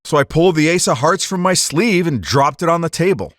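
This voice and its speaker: Casting: a man to play a hot-headed card cheat, animated storyteller, higher-set voice, 1940s radio crime drama. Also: brisk, no room sound. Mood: narrating, bitter.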